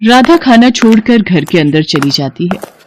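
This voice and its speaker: A woman's voice: medium pitch, lively intonation, unhurried, a little mellow, slight background noise. A woman narrating a scripted children's bedtime story as the storyteller.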